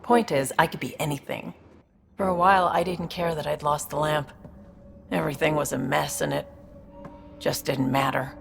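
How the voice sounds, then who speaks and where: A streetwise alien woman from a video game, talks in a low voice with heavy fry. She is tough, frank and wry, over a faint ambient hum. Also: steady tone, normal speed, long pauses. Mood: wry, reflective. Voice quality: low, gravelly. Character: tough, streetwise, secretly sentimental female alien smuggler.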